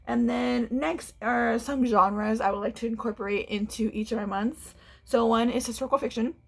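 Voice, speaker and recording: A woman's voice: on the high side, fairly even intonation, normal speed, creaky. A young woman, a book vlogger chatting casually at home, a little congested, rambling and restarting as she talks. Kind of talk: casual chat to camera. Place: home room.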